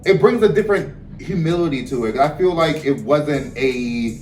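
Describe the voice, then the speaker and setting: Man with a baltimore accent chatting on a podcast, animated, mic far off, some music in back. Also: fairly high pitch, moderate pace. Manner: animated, chatty, self-correcting. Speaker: podcast co-host. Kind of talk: casual chat. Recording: echoey room, distant mic, faint music.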